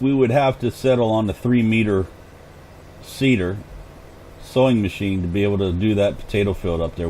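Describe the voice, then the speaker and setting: A man talks through a farming game session in a relaxed, chatty way, hoarse and clearing his throat now and then. His voice sits low and mellow, with a faint hiss under the recording. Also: poor audio. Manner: chatty, thinks aloud while playing. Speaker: gaming channel host. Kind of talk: casual gameplay commentary.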